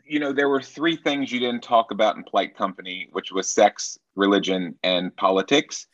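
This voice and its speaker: A man's voice: on the low side, steady tone, normal speed, a bit bright, dry recording, lo-fi sound. A man from Nashville, Tennessee, speaking as a sex therapist guest in an earnest, wry, reflective way. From Nashville, Tennessee, USA. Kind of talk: conversational interview answers.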